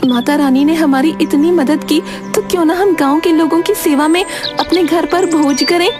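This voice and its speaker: A theatrical, devout village wife from a cartoon, voiced by a woman. Her voice is high and exclamatory. Music runs clearly underneath. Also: moderate pace, lightly acted. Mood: kindly. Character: sharp-tongued, dramatic, devout village wife. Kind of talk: Hindi children's cartoon voice acting.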